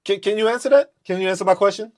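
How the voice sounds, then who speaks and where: A man's voice: high-pitched, racing, a little mellow. A man hosting a podcast, ranting in a profane, mocking and confrontational way. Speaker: podcast host. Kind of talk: live political rant.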